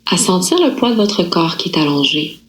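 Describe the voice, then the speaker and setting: A woman yoga nidra instructor guiding a relaxation meditation in a calm, unhurried, soothing manner with gentle pauses. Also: lowish voice, low-quality recording.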